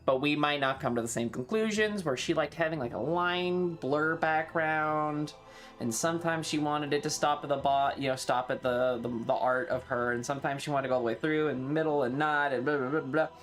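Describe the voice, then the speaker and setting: A man chatting casually on a livestream, rambling and halting, swearing freely as he talks. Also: fairly high pitch, fairly even intonation, a bit bright. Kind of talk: casual rambling stream chat.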